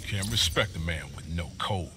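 A tough, stern fighter, acted by a man, talks bluntly in a fighting game. His voice is fairly low, with clear background noise. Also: normal speed, natural voice. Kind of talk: fighting game character dialogue.

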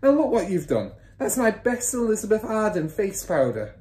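A woman voicing a shrill, exasperated, scornful mother and wife from a children's story, shrieking and nagging. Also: big pitch swings, moderate pace, dark tone, roomy sound, lightly acted. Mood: scornful scolding.

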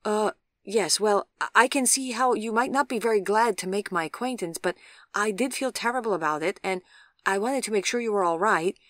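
A woman's voice: mid-range voice, moderate pace, no echo, studio quality, voice pushed up, natural voice. A woman voicing an awkward, courteous, apologetic man in an audiobook, speaking with hesitant, formal politeness. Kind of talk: audiobook character voice.

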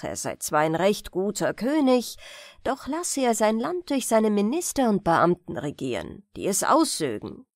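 A woman narrating fairy tales as a bedtime audiobook in a measured, expressive storytelling manner. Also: on the high side, no room sound, clean studio sound. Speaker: audiobook reader.

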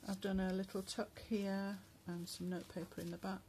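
A woman talks casually while showing a handmade journal. She speaks softly, with many pauses and fillers, and her voice is going hoarse. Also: mid-range voice, level tone, slight background noise. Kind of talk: casual craft flip-through narration. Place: indoors at a table at home. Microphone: phone camera above the table.

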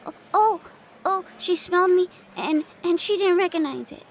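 A woman's voice: extremely high pitch, moderate pace. A woman voicing a timid, naive young spider girl in an audio roleplay, stammering and flustered. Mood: nervous.

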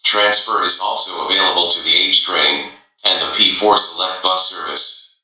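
A man's voice: sits low, steady tone, moderate pace, sharp tone, muffled recording. A man reading scripted station and transfer announcements as a subway announcer. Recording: very echoey announcement clips.

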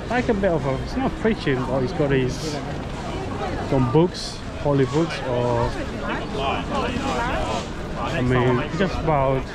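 A man's voice: medium pitch, animated, slow, long pauses. A man, a travel vlogger, chatting casually to viewers as he walks through a busy city street. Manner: chatty, talks to viewers while walking. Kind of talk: casual walking commentary. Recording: loud crowd and street noise. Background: crowd chatter and traffic.

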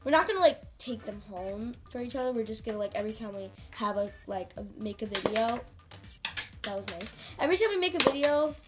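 A woman's voice: on the high side, lively intonation, brisk, over loud music. Girl chatting with lots of fillers. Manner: rambling, filler-heavy, giggly. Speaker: channel co-host. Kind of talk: casual chat.

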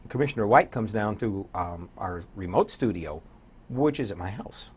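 Older man, American accent, hoarse and husky, lowish pitch, conversational interview guest. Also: expressive, moderate pace. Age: 60s or older. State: hoarse, getting over an illness. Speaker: community radio program director and morning DJ, guest. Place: small indoor TV studio.

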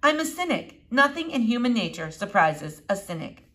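A woman's voice: fairly high pitch, sing-song, moderate pace, fairly bright tone, voice pushed up. A woman voicing a blunt, cynical and stubborn ten-year-old girl in a children's audiobook. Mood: defiant, blunt. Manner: blunt, curt.

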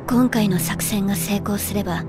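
A young female government agent, played by a woman, speaks with chilling composure. Her delivery is polite, measured and unruffled, in an anime drama style, with loud music under the voice. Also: medium pitch, natural voice. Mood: earnest, calm. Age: young adult.

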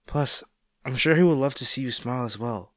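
A man's voice: mid-range voice, fairly quick, natural voice. A man acting a caring, worried boyfriend in an intimate audio roleplay, speaking tenderly and coaxingly to his injured partner. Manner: tender, coaxing, hesitant, speaking directly to the listener.

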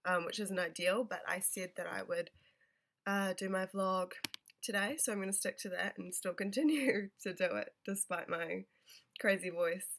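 Brief: twenties New nurse vlogger, stuffy and nasal, gravelly edges, casual and halting. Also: female voice, medium pitch, a bit bright. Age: 20s. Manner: rambling, many ums and restarts. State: nasal from a cold. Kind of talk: casual advice vlog. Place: small bedroom, indoors. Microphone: webcam at close range.

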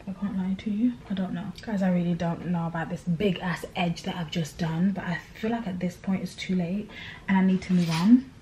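A woman's voice: on the low side, steady tone, a little fast, warm tone, a little hiss or hum. A young woman in her twenties, originally from Tanzania, chatting casually to camera at home. She rambles and confides, often asking "do you know what I mean". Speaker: vlogger. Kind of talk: casual chat to camera while doing her hair. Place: small indoor room at home.

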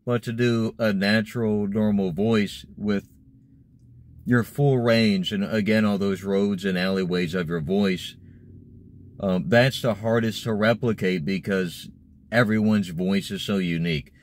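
Low-voiced young man chatting to camera. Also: fairly even intonation, unhurried, a little hiss or hum. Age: young adult. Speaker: vlogger discussing voice acting. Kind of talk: casual explanatory talk to camera. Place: inside a parked car.

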